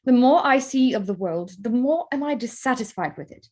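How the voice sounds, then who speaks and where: Woman playing a spirited, candid young gentlewoman. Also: fairly high pitch, lively intonation, moderate pace. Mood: disillusioned. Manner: frank, articulate. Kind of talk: stage-play reading, period drama.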